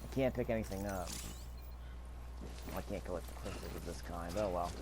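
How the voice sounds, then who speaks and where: A man's voice: low voice, normal speed, noisy background. Man commentating casually over gameplay. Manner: musing aloud, repeats phrases. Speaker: gaming video host. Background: faint video game ambience.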